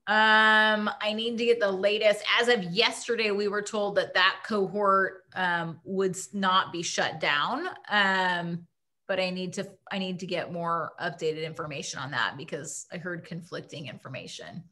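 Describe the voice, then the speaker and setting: Woman, school principal, video-call audio, relaxed off-the-cuff answers with fillers. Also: lowish voice, measured pace. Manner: informal, thinks aloud with fillers and restarts. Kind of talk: answering questions at a video-call town hall.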